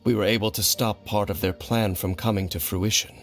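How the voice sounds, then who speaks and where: Game role: male actor as a solemn, age-old wanderer, low-voiced, formal and grave, music underneath. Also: steady tone, brisk, close to an everyday voice. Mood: matter-of-fact. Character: brooding ancient male wanderer, solemn and vengeful. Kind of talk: scripted video game voice acting.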